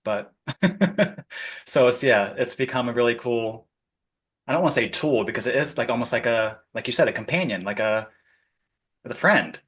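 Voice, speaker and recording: A man hosting a relaxed podcast about spirituality. He sounds eager, laughs easily and keeps affirming his guest. His voice sits at an ordinary middle pitch. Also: normal speed. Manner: enthusiastic, laughs often, repeats affirmations. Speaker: podcast host. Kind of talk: casual spiritual interview chat.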